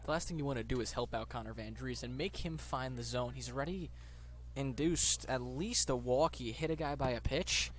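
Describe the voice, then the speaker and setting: A man's voice: medium pitch, moderate pace. A man giving conversational color commentary on a live baseball broadcast.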